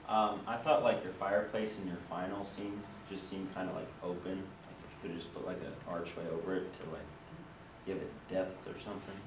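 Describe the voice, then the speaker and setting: A young man gives hesitant, casual feedback as a student. His voice is clearly low. It is picked up from a distance in an echoey, noisy room. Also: fairly even intonation, a little fast. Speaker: student in the audience.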